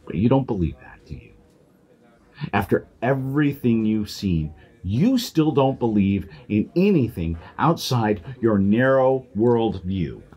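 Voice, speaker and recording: Man playing a very husky, indignant challenger. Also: on the low side, very animated, measured pace, warm tone, exaggerated voice. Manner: confrontational, pointed. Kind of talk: audio drama acting.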